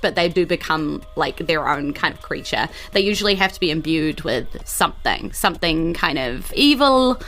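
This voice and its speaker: A woman narrating as game master in a casual, unscripted and halting, informal way. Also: lowish voice, a bit bright. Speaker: game master of a tabletop role-playing podcast.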